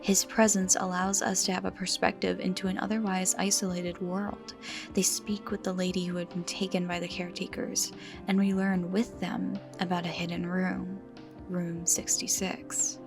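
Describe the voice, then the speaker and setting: A woman giving scripted video-essay commentary with dramatic emphasis and hushed, breathy phrase endings. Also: fairly low pitch, level tone, normal speed. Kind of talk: scripted commentary on a horror series. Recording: music behind the voice.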